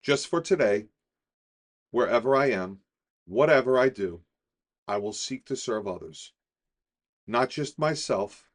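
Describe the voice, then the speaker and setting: A middle-aged man reading a daily recovery meditation in a calm, deliberate way, slowing on key phrases. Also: mid-range voice. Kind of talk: measured scripted reading.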